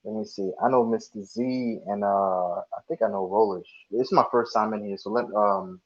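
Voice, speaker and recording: A young man from Ghana joins a casual online panel. His voice sits low, and his earnest, halting explanations come through a dull, lo-fi connection. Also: steady tone. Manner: earnest, restarts often while explaining. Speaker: panel guest. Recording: dull lo-fi audio.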